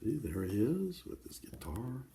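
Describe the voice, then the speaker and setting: A man's voice: medium pitch, sing-song, unhurried, warm tone, slight background noise. An older man reading a children's book aloud, adding simple conversational asides to explain the pictures. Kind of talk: read-aloud storytelling with informal asides to children.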